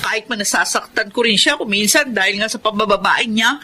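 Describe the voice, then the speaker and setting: A woman's voice: mid-range voice, brisk, sharp tone, a little hiss or hum, close to an everyday voice. A Filipino woman playing a regretful, lonely but feisty mother in a radio drama. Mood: bitter.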